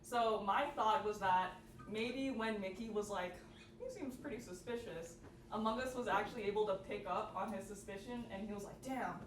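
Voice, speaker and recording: A woman's voice: mid-range voice, moderate pace. A young woman giving an informal workshop talk, saying "like" often, recorded from a distance in an echoey, noisy room. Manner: informal, peppered with "like". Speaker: workshop presenter.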